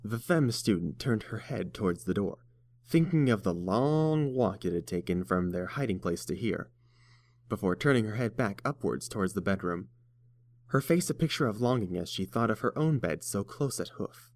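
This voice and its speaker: A man reads scripted story narration in a deep, low voice. The sound is dry and close, with no room around it, as in an audiobook. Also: animated, moderate pace, darkish tone, no room sound.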